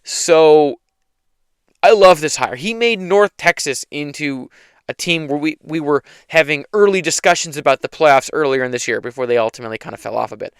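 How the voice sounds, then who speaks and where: A man hosting a casual solo sports talk show, hoarse from yelling, opinionated and rambling with frequent restarts. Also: on the high side, normal speed, no room sound, clean studio sound. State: hoarse, losing his voice. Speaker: podcast host.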